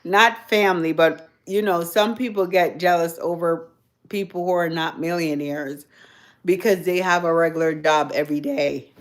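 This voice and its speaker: A woman's voice: fairly low pitch, steady tone, normal speed. A woman from Jamaica with a Jamaican accent, chatting casually with viewers on her livestream, rambling and laughing often. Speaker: livestream host. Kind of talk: casual live chat with viewers.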